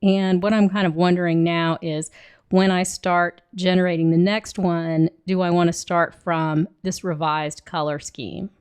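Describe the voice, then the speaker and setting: A woman with a cold and a hoarse voice, talking through a screen-recorded software tutorial and thinking aloud as she clicks. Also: lowish voice, steady tone, measured pace. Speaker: illustrator teaching software.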